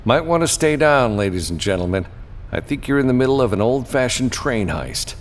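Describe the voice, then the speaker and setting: A man voicing a gruff, terse freelance gunman in an audiobook, commanding and matter-of-fact. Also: medium pitch, sing-song, brisk, in a large hall, slight background noise, voice pushed up, close to an everyday voice. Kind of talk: audiobook character dialogue.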